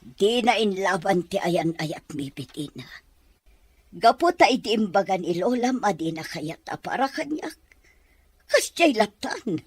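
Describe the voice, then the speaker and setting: Woman playing a bitter, stern Filipino mother, lively delivery. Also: mid-range voice, a little slow, slightly rough, no room sound. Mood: wounded, insistent. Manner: emphatic, reproachful. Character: bitter, stern middle-aged mother, still hurt by lost love. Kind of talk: Ilocano audio drama acting.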